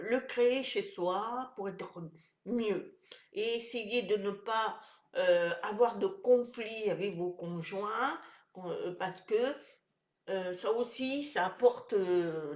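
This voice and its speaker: A woman with a head cold speaks through a stuffy, nasal voice. Her pitch sits in the middle, and she pauses a lot with fillers as she shares tips with her viewers. The sound carries a noticeable room echo. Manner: hesitant, many "euh" fillers and restarts. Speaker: wellness vlogger. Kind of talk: casual talk to camera.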